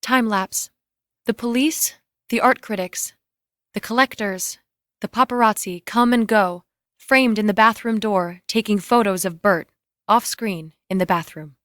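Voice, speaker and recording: A woman's voice: mid-range voice, unhurried, fairly bright tone, dry recording, clean studio sound. A woman narrating scripted screenplay scene directions in a matter-of-fact way.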